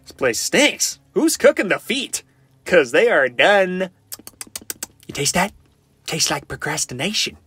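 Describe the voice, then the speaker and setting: Man acting a lively, cocky, flirty game survivor. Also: sits high, unhurried, long pauses, slightly rough, no room sound, heavily acted. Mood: wry, joking. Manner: playful, theatrical, quippy, often sing-song. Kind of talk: fan-made video game character voice lines.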